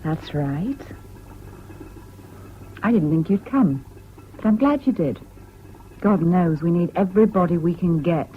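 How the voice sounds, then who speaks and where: An actress playing a frank, bossy but affectionate young British woman in a radio drama, brisk and teasing. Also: lowish voice, lively intonation. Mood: earnest. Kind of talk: BBC radio drama acting. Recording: dull lo-fi old radio broadcast, hissy.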